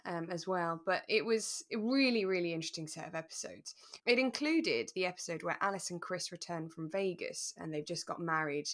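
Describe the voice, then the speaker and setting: A woman's voice: fairly low pitch, a little fast, a bit bright. Chatty, articulate British woman podcaster. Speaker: podcast co-host. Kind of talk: relaxed chat discussing a radio drama.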